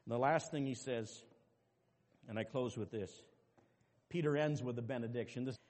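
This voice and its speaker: A man preaching a sermon as pastor, dropping to quiet asides and rising to loud, emphatic calls to the congregation. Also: mid-range voice, moderate pace. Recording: long reverb with faint music behind.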